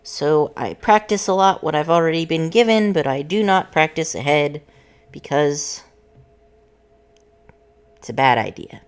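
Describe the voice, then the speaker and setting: A woman's voice: low voice, animated, a little slow, pauses often, smooth. A woman, a voice student, chatting casually and explaining her practice step by step between vocal exercises.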